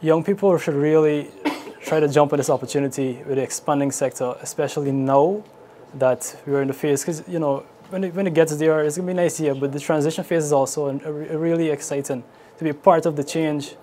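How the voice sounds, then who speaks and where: A man's voice: on the high side, steady tone, a little fast, mellow. A man with a Guyanese accent giving informal interview answers as an air traffic controller. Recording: clearly noisy location interview.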